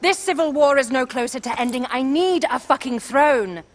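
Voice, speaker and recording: A woman's voice: high voice, normal speed, lightly acted. An actress playing a proud, commanding young Egyptian queen in a cinematic game. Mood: agitated, defiant. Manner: commanding, regal.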